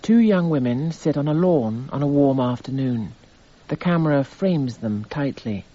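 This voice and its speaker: An actor playing a detached, formal narrator who itemises evidence in clipped phrases for a radio drama. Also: male voice, medium pitch, lively intonation, normal speed, warm tone, close to an everyday voice. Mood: detached, descriptive. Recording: slightly muffled radio broadcast.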